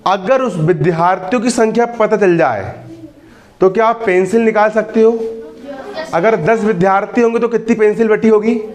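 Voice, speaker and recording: A man's voice: sits high, normal speed, darkish tone. A man in his early twenties teaching a maths class. He keeps repeating questions to the students and teases and scolds them. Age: 20s. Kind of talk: classroom lesson. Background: students in the room.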